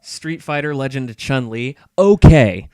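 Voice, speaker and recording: Male podcast co-host, smooth clean voice, casual and opinionated, close dry sound. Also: mid-range voice, expressive, unhurried, a little mellow, no room sound. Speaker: podcast co-host and film reviewer. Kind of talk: casual podcast chat about movies.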